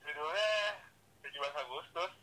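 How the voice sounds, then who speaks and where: A young man giving casual, halting answers as a student being interviewed over the phone. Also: on the high side. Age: young adult. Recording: noisy, sharp telephone line.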